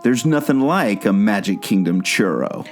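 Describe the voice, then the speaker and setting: A man's voice: lowish voice, lively intonation, moderate pace, soft background music, natural voice. A man playing a wisecracking, knowledgeable adventurer in a comic radio play, explaining things in a quippy way. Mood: defiant, quippy. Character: wisecracking, knowledgeable male adventurer held captive. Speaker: podcast guest playing himself.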